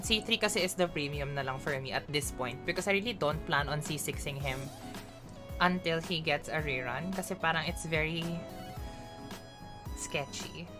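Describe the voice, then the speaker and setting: A woman streaming a game live, chatting playfully to herself and her viewers. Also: low-pitched. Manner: chatty, playful, code-switching, self-talking. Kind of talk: casual live gaming chatter. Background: game music.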